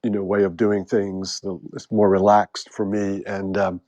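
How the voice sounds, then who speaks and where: A man's voice: deep voice, completely flat delivery, measured pace, darkish tone, muffled recording. American man hosting a rambling chat. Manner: rambling and joking, with many fillers. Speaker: host. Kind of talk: casual group chat.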